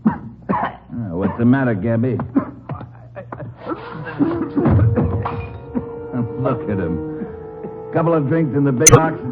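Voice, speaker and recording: A man's voice: mid-range voice, animated, unhurried, over loud music, close to an everyday voice. An actor playing a menacing, sneering gangster who taunts in tough-guy slang in a 1950s radio crime drama. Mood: gruff, irritated. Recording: noisy, tinny 1950s radio broadcast recording.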